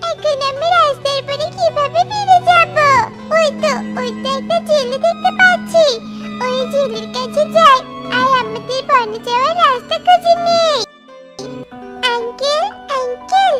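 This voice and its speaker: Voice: very high-pitched, bright, forceful tone, heavily acted. A performer voicing an eager, insistent little bird princess who pleads like a small child in a children's cartoon. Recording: music bed behind the voice.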